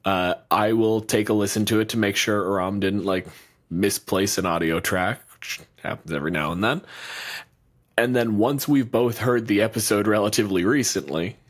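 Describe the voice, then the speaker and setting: A man's voice: fairly low pitch, moderate pace. A man co-hosting a podcast as its game master, chatting casually with lots of "like" and casual swearing. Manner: rambling, filler-heavy, swears casually.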